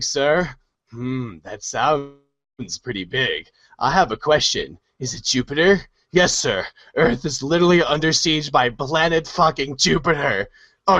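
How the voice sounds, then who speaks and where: A man's voice: fairly high pitch, pushed and bright, no room sound. An actor playing a sardonic, rambling young man in a comic storybook reading, sarcastically acting out mock dialogue. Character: sardonic, rambling young guy mocking disaster-film clichés. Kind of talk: comic monologue in a read-along storybook show.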